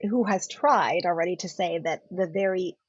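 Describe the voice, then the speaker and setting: A middle-aged woman whose first language is German, speaking as a political scientist guest on a podcast in a thoughtful, measured way. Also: fairly low pitch, breathy edge, no echo. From India. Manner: thoughtful, measured, often rephrasing. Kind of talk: podcast interview. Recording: dull lo-fi podcast audio.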